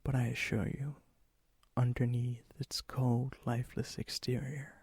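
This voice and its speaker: A man playing a lonely, gently teasing vampire in an ASMR roleplay, with a soft, breathy voice that often drops into a whisper. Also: mid-range voice, level tone, no echo. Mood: hushed, mysterious. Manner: slow, intimate, hesitant, gently teasing.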